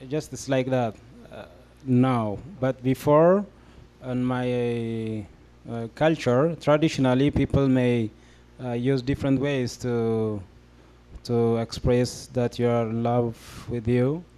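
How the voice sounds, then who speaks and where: A man's voice: mid-range voice, steady tone, slow, warm tone, clean tone. A young man from Ethiopia speaking on a discussion panel, hesitant and full of fillers as he explains his answer. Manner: hesitant, many fillers and restarts. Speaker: panel guest.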